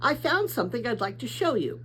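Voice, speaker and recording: A woman's voice: a little high, very animated, fairly quick, a bit bright, a little hiss or hum, rough recording. A woman voicing a curious, polite young girl in a storybook, eager and questioning, a little hesitant.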